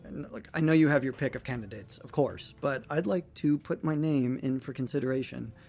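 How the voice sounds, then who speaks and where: A man's voice: medium pitch, fairly quick, darkish tone, faint music behind, lightly acted. A man playing an eager young rookie surgeon in a sci-fi audio drama, stammering, earnest and deferential. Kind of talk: audio fiction acting.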